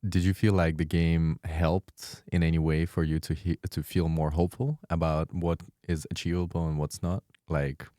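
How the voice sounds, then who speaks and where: A man's voice: deep voice, flat delivery, moderate pace, few pauses, warm tone, dry recording, clean studio sound. A man hosting and moderating a casual podcast round-table with questions for guests. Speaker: host, discussion moderator.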